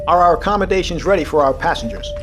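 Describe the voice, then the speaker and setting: A male actor plays a seasoned submarine captain in an audio drama, over clear background music. His voice sits a little high. He is calm, commanding and quietly resolute. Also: fast, close to an everyday voice. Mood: brisk command. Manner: commanding, steady, reassuring.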